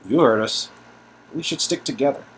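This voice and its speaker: A man's voice: mid-range voice, moderate pace, a bit bright, slightly rough, rough recording. A man acting a loyal, earnest male friend in a dialogue reading of an adventure story game. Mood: earnest, determined.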